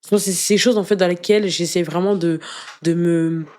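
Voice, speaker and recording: A woman giving personal testimony as an interview guest in casual conversation, earnest and halting, often repeating words as she searches for the next. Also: lowish voice, fairly even intonation, darkish tone. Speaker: interview guest, anti-racism activist.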